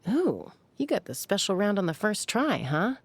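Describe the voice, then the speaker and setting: A woman playing a tough, composed young warrior and peacemaker in a video game, earnest and dryly playful, with a low, husky voice. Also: sing-song, moderate pace, no room sound. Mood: playful, warm. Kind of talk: scripted video game character voice lines.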